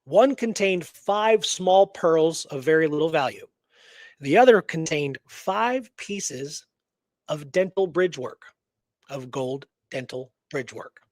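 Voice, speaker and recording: A man's voice: fairly high pitch, animated, takes it slowly, a little mellow, dry recording. A man co-hosting a history talk show, reading details out with emphasis and adding casual conversational asides. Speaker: co-host presenting a true story. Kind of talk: conversational radio show narration, reading notes aloud with commentary.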